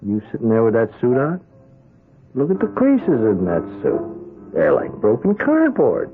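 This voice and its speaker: An actor playing an eager young small-time hustler in a radio drama, with an American accent and a slangy, hesitant, nudging way of talking. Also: male voice, medium pitch, wildly swooping pitch, measured pace, phone-quality sound. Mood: sly, probing. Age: young adult. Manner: slangy, hesitant, nudging, tags phrases with "huh". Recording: band-limited radio drama with music.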